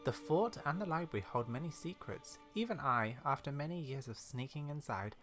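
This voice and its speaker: An actor voicing a fantasy quest character who tells of secrets and magic in a measured, dramatic way. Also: medium pitch, lively intonation, a bit bright, close to an everyday voice. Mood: solemn, mysterious. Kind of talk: scripted video game dialogue. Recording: music behind the voice.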